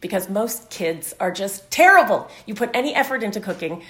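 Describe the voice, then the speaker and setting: A woman's voice: mid-range voice, expressive, a little fast, a bit bright, low-quality recording. A middle-aged woman chatting casually as a guest, emphatic and humorously exasperated. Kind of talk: casual chat.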